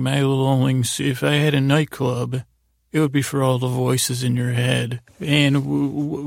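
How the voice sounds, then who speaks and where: A man hosting a bedtime podcast in a lulling, soothing, gravelly voice, meandering and halting with long pauses. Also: medium pitch, dry recording, clean studio sound. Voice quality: gravelly, creaky. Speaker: podcast host. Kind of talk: rambling bedtime podcast monologue.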